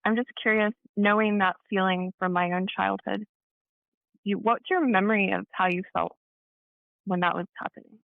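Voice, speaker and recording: A woman hosts a conversational podcast interview. Her voice has a noticeable creak and fry. The recording is dry and close, with a thin, phone-like sound that cuts off the top and bottom. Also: medium pitch, steady tone, normal speed, no echo. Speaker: podcast host.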